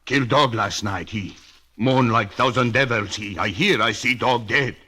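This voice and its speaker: An actor playing a superstitious, earnest bayou boatman with a Cajun accent and halting broken English, in old radio drama. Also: mid-range voice, sharp tone, slightly rough. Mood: earnest, fearful. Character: superstitious bayou boatman, loyal family servant, simple and earnest. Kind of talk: 1940s radio drama acting.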